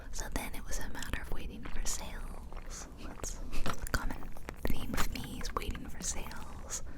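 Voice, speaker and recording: A woman whispering softly and breathily into a close microphone, chatting casually in a rambling ASMR style. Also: moderate pace. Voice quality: soft, breathy unvoiced whisper. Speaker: ASMR video creator. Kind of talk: ASMR whispered casual chat over gameplay. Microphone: close ear-to-ear microphone.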